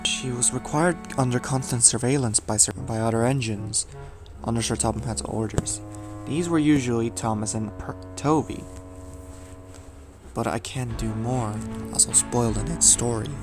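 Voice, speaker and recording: A man reading scripted narration for a horror audio drama, as storyteller, with measured, dramatic emphasis. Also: mid-range voice, music in the background.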